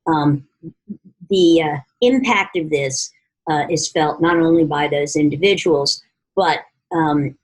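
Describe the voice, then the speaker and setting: A woman with a low, husky voice speaks as an advocate fielding questions at a press conference. She talks in formal policy language, stopping often and feeling her way through each phrase. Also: poor audio. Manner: halting, heavily hesitant, formal policy phrasing.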